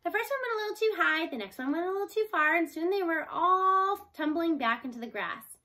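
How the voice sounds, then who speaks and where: A woman's voice: very high voice, expressive, a little fast, pushed and bright. A woman reading a children's novel aloud as scripted storybook narration. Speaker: storybook reader.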